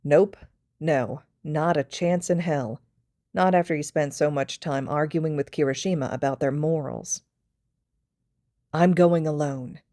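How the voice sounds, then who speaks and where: A woman's voice: sits low, a little slow, warm tone, dry recording, clean studio sound. A woman voicing a blunt, defiant teenage boy vigilante in an audio story, curt and dismissive. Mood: adamant.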